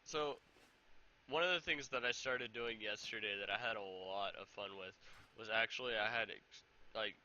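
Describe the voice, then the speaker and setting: A young man with a sore throat, giving casual, rambling, excitable commentary over his own gameplay on a headset mic. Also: lowish voice, normal speed, highs cut off. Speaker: gaming YouTuber.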